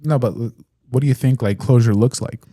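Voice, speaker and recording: A man in his thirties co-hosting a podcast in casual chat, reading listener comments aloud in a relaxed, hesitant way with fillers. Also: mid-range voice, brisk, no room sound, clean studio sound. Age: 30s. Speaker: podcast co-host.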